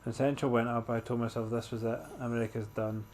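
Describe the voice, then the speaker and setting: A man's voice: mid-range voice, level tone, fast talker, darkish tone, slight background noise. A man hosting a gameplay walkthrough, commenting and reading aloud in an informal way.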